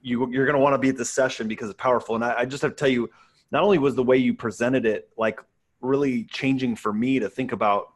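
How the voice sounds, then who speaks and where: A man's voice: medium pitch, steady tone, fast. A man hosting a podcast, chatting casually in an earnest, emphatic way and speaking directly to listeners. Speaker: podcast host. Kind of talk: casual interview chat.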